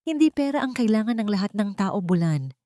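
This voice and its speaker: A woman voicing a wise, calm elderly father in a story, measured and admonishing. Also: mid-range voice, no room sound, clean studio sound, pitched above the performer's own voice, natural voice. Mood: gently admonishing.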